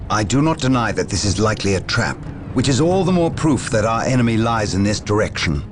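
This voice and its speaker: An actor playing a proud, touchy space marine psychic in a game, speaking with intense, assertive formality. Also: male voice, fairly low pitch, big pitch swings, brisk, music in the background. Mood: insistent. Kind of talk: dramatic scripted game cutscene acting.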